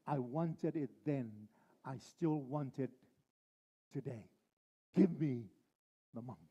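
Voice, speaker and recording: A male pastor preaching a sermon, driving his points home with slow, repeated phrases. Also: mid-range voice, warm tone, muffled recording.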